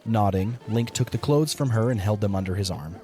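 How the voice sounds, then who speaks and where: A man's voice: on the low side, a little fast, warm tone, a little hiss or hum. A man reading scripted third-person story narration as the narrator of an audio drama.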